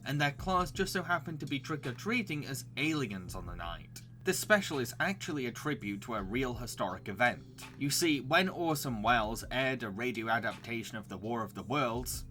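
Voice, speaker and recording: A man's voice: medium pitch, sharp tone. A man narrating a scripted review as the presenter of a recommendation video, with enthusiasm.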